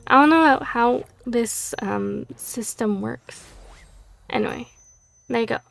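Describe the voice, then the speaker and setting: A woman chatting through a game playthrough as host, hesitant and full of fillers. Her slightly high voice moves slowly and carries a gravelly fry. She sounds a bit worn, as if she is losing her voice. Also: soft background music. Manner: hesitant, filler-heavy, self-correcting. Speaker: gaming channel host. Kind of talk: casual game playthrough commentary.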